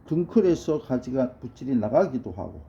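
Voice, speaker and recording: A hoarse, middle-aged man with a cold gives a measured ink-painting lesson while he paints. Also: mid-range voice, very animated, warm tone. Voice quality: gravelly. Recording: dull lo-fi sound with faint noise.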